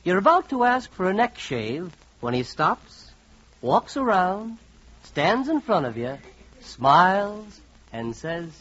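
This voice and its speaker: A wry, confiding narrator for an old radio comedy. His voice is high and very animated, full of mock encouragement. The 1940s recording sounds muffled. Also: slow pace, heavily acted. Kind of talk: second-person comic narration, 1940s radio.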